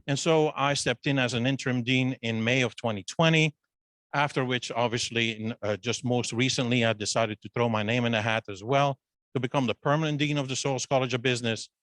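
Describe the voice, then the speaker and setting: A man's voice: mid-range voice, steady tone, fairly quick, a bit bright, no room sound, poor audio. A middle-aged man from Belgium with a Flemish accent, a business school dean introducing himself at a student meeting in a loose, chatty way. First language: Dutch. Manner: loose and conversational, restarts with fillers. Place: meeting with a Zoom link. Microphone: handheld microphone.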